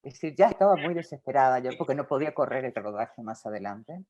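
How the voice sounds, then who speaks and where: A woman with a Rioplatense Spanish accent talks as a film director in an interview. Her pitch rises and falls a lot as she thinks aloud. She circles back and restarts her sentences. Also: expressive, fast talker, warm tone, dull audio. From Uruguay. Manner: reflective, with frequent fillers and restarts. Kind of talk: interview answers.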